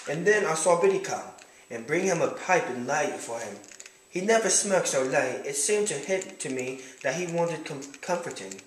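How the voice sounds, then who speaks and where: Guy playing proud young man narrating a classic novel puppet show, slightly high voice, formal, echoey room. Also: animated, moderate pace, slight background noise, lightly acted. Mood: reflective. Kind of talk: first-person scripted narration and amateur puppet show acting.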